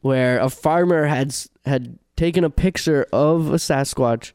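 Earnest, smooth-voiced podcast host. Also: male voice, a little high, unhurried, a little mellow, no room sound, studio quality. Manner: earnest, laughing, explains with conviction. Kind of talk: casual chat.

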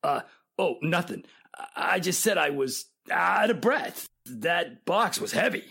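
Radio-drama tough guy male actor: raspy, creaky, brusque and shifty delivery man. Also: high-pitched, animated, a little slow, pushed and bright, exaggerated voice. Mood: flustered. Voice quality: raspy, gravelly. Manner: brusque street-tough slang. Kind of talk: 1940s radio drama acting.

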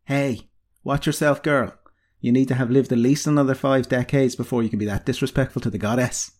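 A man's voice: mid-range voice, lively intonation, fairly quick, vocal fry, darkish tone, voice pushed up. A man voicing an old female healer, a wry and stern but tender mistress with a dry, teasing, commanding way of talking. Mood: dry, stern affection. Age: older.

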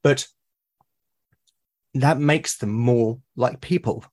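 Husky British man, earnest and halting. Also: medium pitch, darkish tone. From Britain. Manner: earnest, halting, repeats words. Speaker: podcast guest. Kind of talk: conversational interview.

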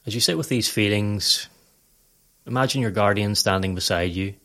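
Low-voiced man guiding a meditation. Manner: calm, measured, instructional. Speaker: guided meditation narrator. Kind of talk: scripted guided meditation narration.

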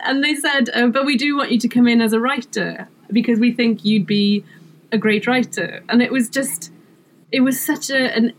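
A middle-aged Welsh-speaking woman from Wales chats easily in an interview, quick to laugh at herself. Her voice sits at an ordinary middle pitch. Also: low-quality recording. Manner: chatty, self-deprecating, laughs often. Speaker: comedy writer, guest. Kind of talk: casual interview chat.

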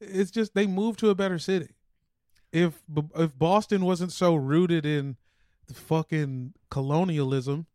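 A man with a fairly high voice, recorded dry. He chats as a podcast co-host, blunt and casual about sports, with some swearing. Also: unhurried, a little mellow, no room sound.

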